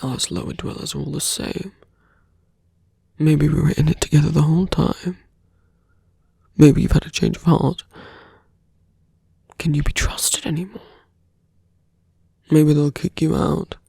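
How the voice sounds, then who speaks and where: Someone acting out a slow, breathy, gravelly seductive villain. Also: medium pitch, fairly even intonation, warm tone, no echo, studio quality, heavily acted. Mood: taunting, menacing. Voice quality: breathy, often dropping to a whisper. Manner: slow, deliberate, taunting. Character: seductive villain, taunting a wounded hero, persuasive and menacing. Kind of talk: intimate ASMR roleplay acting.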